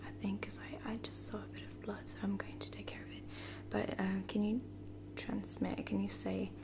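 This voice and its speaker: A woman playing a caring space lieutenant in a whispered ASMR roleplay, breathy, hesitant and soft-spoken. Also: medium pitch, level tone, normal speed, close to an everyday voice. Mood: earnest. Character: caring, reassuring female space lieutenant tending a wounded soldier.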